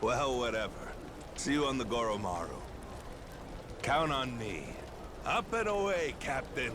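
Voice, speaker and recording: A man playing a contrite but hearty middle-aged pirate crewman in a video game, speaking in an earnest, halting way. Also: medium pitch, lively intonation, background noise, close to an everyday voice. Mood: upbeat. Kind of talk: dramatic video game dialogue.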